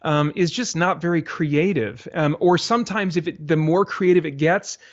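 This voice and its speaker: Halting man, muffled lo-fi audio. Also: fairly high pitch. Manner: halting, thinking aloud. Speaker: interview guest, audio drama creator. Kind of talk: casual interview chat.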